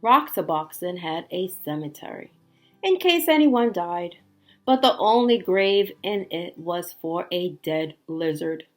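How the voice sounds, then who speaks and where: A woman teacher reading a storybook aloud to children, expressive and emphatic, with little chatty asides. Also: fairly low pitch, unhurried, soft background music.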